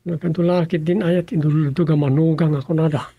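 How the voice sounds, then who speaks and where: A man's voice: on the high side, steady tone, brisk, husky, no room sound, highs cut off. A man playing a grumbling family man in a radio drama comedy, with an Ilocano accent.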